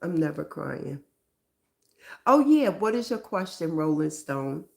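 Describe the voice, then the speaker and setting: A woman's voice: low-pitched, very animated, slow, gravelly, echoey room. A woman hosting a live stream, giving reflective motivational talk and often asking "right?".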